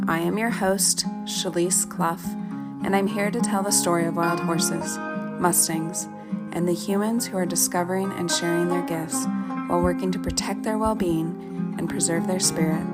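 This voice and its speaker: A woman host reads a scripted introduction in a measured, solemn way, taking her time. Her voice sits on the low side. Plucked guitar music plays clearly under her words. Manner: measured, slow and solemn when narrating. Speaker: podcast host. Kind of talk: scripted intro narration and interview. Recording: music bed behind the voice.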